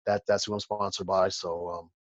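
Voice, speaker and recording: A man's voice: deep voice, little pitch movement, moderate pace, no room sound. Laid-back man on a muffled call line. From Texas. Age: 40s. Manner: laid-back, laughs easily, says man a lot. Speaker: bodybuilder guest. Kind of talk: casual interview chat.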